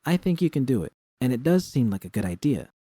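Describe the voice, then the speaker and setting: A man's voice: medium pitch, sing-song, fast talker, dark tone, husky, no echo, studio quality. A man voicing a wry, tough, teasing woman bartender with a slangy, frank manner in a story. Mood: frank, playful.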